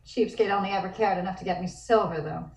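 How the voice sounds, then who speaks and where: A woman plays a wry, sharp-tongued wife out for the night in a period comedy. Her delivery is dry and guarded, at a medium pitch, heard from a distance in a roomy space. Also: fast, vocal fry, close to an everyday voice. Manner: dry, sardonic. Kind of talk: period comic table-read acting.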